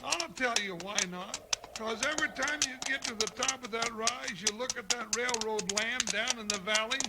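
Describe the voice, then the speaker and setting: An actor playing a comical, complaining cowboy deputy in a 1950s radio western, with folksy frontier talk. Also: male voice, very high-pitched, normal speed. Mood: grumbling. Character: grumbling, comical male cowboy deputy sidekick. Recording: very noisy old radio recording.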